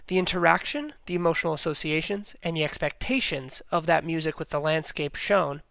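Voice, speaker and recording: A man's voice: on the high side, a little fast, gravelly, bright, forceful tone, no room sound. A man reading a scripted analytical video essay in a formal, academic manner.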